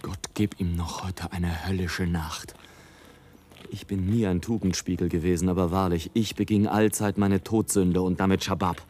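An actor playing a mocking, indignant balloonist who declaims and mutters theatrical asides in a radio play. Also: male voice, low voice, steady tone, normal speed, darkish tone, a little hiss or hum, natural voice. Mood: scornful, indignant. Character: sardonic, indignant male balloonist watching people from above. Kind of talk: German radio play, literary monologue. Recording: music under the voice.

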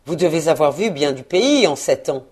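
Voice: medium pitch, animated, normal speed, a little mellow, breathy edge. A narrator voicing a frightened, humbled old male miser who speaks with hesitant deference. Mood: uneasy. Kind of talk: audiobook character reading.

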